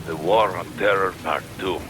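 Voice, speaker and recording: An actor playing an excitable male support operator, reacting quickly and nervously. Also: deep voice, close to an everyday voice. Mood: worried. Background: music.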